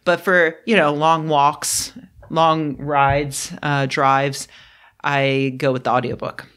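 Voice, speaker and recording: A young woman talks off the cuff about books in an unhurried, meandering way, full of little fillers and self-mocking asides. Her voice is a touch throaty from a lingering cold. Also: low voice, flat delivery, fairly bright tone. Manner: rambling, self-deprecating, with frequent fillers and laughs. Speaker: book vlogger. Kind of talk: unscripted casual vlog.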